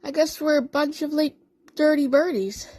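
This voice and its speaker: Woman voicing a high, friendly cartoon cat. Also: normal speed, warm tone, dry recording, lightly acted. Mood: cheerful. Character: PillowCat, friendly, cheerful female cartoon cat.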